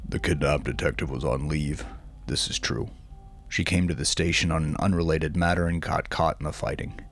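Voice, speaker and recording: Man as low, grave police official. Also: steady tone, moderate pace, faint music behind. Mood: grave, resolute. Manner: formal, grave. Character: grave, resolute male police official giving statement.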